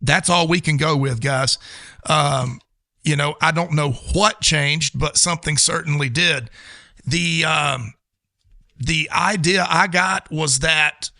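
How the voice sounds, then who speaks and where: A man's voice: fairly high pitch, slow pace, vocal fry, bright, forceful tone, dry recording, clean studio sound. An American man co-hosting a sports talk show, giving casual, opinionated commentary in an emphatic way.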